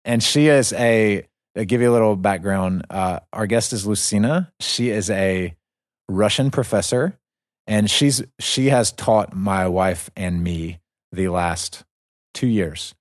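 A man's voice: lowish voice, slow pace, no room sound, studio quality. A man co-hosting a casual podcast, talking eagerly and often restarting his sentences. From United States. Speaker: podcast co-host.

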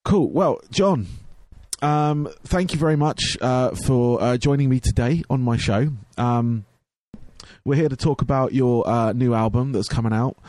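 A hoarse young man hosting his radio show and podcast, chatting casually with lots of ums and restarts. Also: mid-range voice, unhurried, darkish tone, dry recording. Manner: rambling, many ums and restarts. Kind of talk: casual chat.

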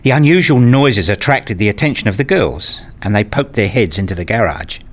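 A man's voice: on the low side, animated, brisk. A man playing a self-deprecating, nerdy father who tells his own story in a radio play in wry, formal wording. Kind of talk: first-person radio play narration.

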